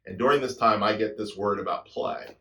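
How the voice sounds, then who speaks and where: A man in his sixties speaks to his viewers in a reflective, introspective way, unhurried and personal. His voice sits a little low, and he lets many phrases fade off softly. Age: 60s. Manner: introspective storytelling, soft trailing endings. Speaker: drummer and channel host. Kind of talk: reflective personal talk to camera.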